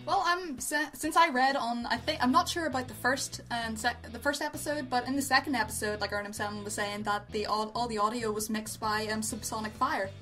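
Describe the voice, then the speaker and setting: A woman's voice: on the high side, a little fast, hardly any pauses, bright, forceful tone, soft background music. A woman co-hosting a casual review show, speaking in a gushing, repetitive way that often trails off. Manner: gushing, repeats herself, trails off. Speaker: co-host of an amateur review show. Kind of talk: casual unscripted review chat.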